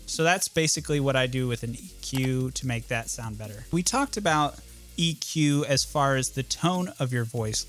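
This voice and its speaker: A man's voice: mid-range voice, fairly bright tone. A man with a nasal voice hosting an audio editing tutorial, explaining things step by step in a casual, plain-spoken way.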